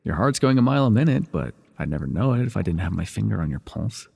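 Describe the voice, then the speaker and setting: Breathy, low-voiced teasing villain mentor played by a man. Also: big pitch swings, fast, exaggerated voice. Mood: teasing, intimate. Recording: reverberant indoor pool echo.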